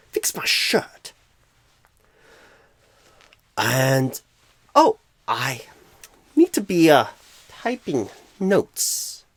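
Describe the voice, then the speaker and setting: A man voicing a clueless, flustered job recruiter in an ASMR roleplay, rambling with odd pauses mid-sentence. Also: mid-range voice, slightly rough, no echo, full character voice. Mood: distracted, flustered. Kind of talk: ASMR roleplay, comic improvised monologue.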